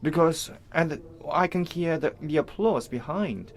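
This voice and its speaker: Halting Chinese-accented man interpreting live. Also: on the high side. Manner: halting, piecemeal phrasing with repeated false starts. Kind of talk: live interpreting of event coverage.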